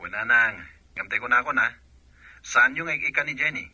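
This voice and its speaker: A man's voice: medium pitch, expressive, normal speed, pushed and bright, breathy edge, dry recording, full character voice, rough recording. A man playing a jealous, accusing soldier husband in a radio drama, with a Filipino accent. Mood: complaining.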